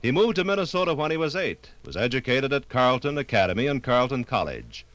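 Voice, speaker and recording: A man's voice: mid-range voice, big pitch swings, fast, fairly bright tone, no room sound. An American man reading formal, informative scripted narration for a 1950s radio documentary.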